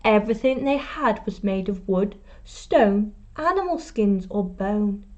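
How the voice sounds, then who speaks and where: A woman teacher reading a picture book aloud to children, expressively, with deliberate pauses and emphasis. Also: mid-range voice, expressive, darkish tone, muffled recording. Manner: prompts young listeners with questions. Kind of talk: storytime read-aloud.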